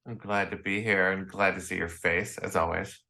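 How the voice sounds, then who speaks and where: A man's voice: sits low, flat delivery, normal speed, vocal fry, bright, forceful tone. A man from Chicago with an American accent, a podcast guest telling casual, chatty anecdotes and voicing the dialogue in them. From Chicago, United States. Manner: chatty, acts out quoted dialogue.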